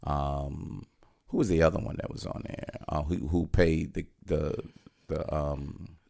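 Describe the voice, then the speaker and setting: A man with a gravelly voice co-hosting a casual movie review, speaking in a halting, hesitant way. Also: a bass voice, fairly even intonation, a little mellow. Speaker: co-host of a movie review show.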